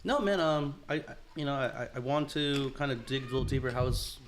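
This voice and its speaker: A man in his thirties with a mid-range voice hosts a relaxed podcast conversation. He asks probing questions in an easygoing way, swearing casually and stumbling through fillers and restarts. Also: mid-range voice, brisk, a little hiss or hum. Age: 30s. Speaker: podcast host. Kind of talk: casual chat.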